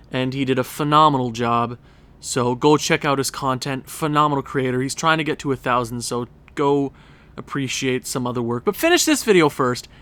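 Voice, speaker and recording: A man's voice: on the high side, bright, forceful tone. Congested young man chatting over gameplay. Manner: chatty, rambling, self-correcting. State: stuffed up, congested. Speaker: gaming YouTuber. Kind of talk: casual gameplay commentary.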